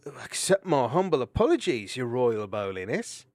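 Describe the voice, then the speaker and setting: A man's voice: mid-range voice, sing-song, normal speed, a little mellow, no room sound, clean studio sound, lightly acted. A man doing a jokey, easygoing young mouse. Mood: jovial. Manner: teasing and jovial. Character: easygoing, joking young male mouse warrior.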